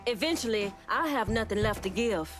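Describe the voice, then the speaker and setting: A woman playing a stern, proud crime boss in a video game, confrontational and measured as her patience thins. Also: mid-range voice, animated, soft background music, close to an everyday voice. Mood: displeased, threatening.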